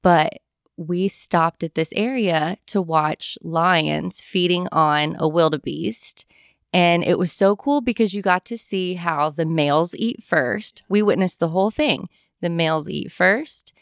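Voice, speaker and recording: Young woman, animated storyteller, low smooth voice, dry close sound. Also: unhurried, dry recording. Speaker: guest telling travel stories. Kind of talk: casual chat.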